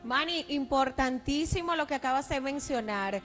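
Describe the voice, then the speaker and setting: A woman's voice: sits high, expressive, moderate pace, a bit bright, faint music behind. A young woman co-hosting a TV interview, asking polite, formal questions into a handheld mic. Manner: polite, formal questions with long lead-ins. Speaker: co-host. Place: TV studio.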